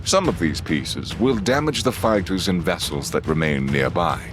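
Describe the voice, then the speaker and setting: A man performing measured, solemn dramatic narration of a space battle for a sci-fi audio drama. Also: low-pitched, lively intonation, over music. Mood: grave, measured.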